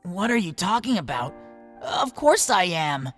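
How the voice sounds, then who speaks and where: A woman's voice: fairly low pitch, big pitch swings, unhurried, faint music behind. A woman voicing an earnest young male hero in an audio drama. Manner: earnest, pleading.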